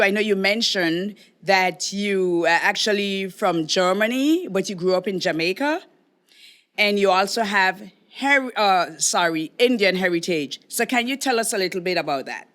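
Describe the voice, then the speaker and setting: Bright-voiced woman hosting a podcast. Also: medium pitch, expressive, unhurried. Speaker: podcast host. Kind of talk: casual interview hosting.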